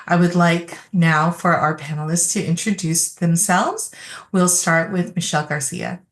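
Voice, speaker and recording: A woman's voice: on the low side, fairly even intonation, measured pace. A woman moderating a virtual panel, graciously thanking and introducing each speaker.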